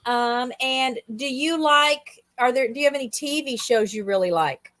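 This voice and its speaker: A woman's voice: high voice, normal speed, fairly bright tone. A woman casually interviewing a guest, asking informal questions in a conversational way. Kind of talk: casual chat.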